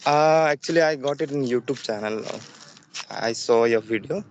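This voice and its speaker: A man's voice: mid-range voice, moderate pace, rough recording. A young man from Jharkhand whose first language is Santhali, a student practicing English on a video call, speaking hesitantly with many fillers and a polite "ma'am". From Jharkhand, India. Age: young adult.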